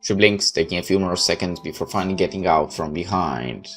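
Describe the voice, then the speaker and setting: A man with a low voice reads a first-person story aloud. His delivery is dramatic and expressive, a little halting, with music playing behind him. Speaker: video game player reading a visual novel aloud.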